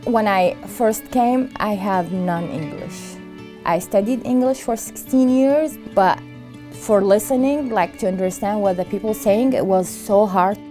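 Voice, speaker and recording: A middle-aged woman with an Iraqi Arabic accent telling her own story in an interview, halting, with many fillers and pauses. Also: medium pitch, warm tone, soft background music. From Iraq. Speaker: refugee sharing her story. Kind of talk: interview testimony.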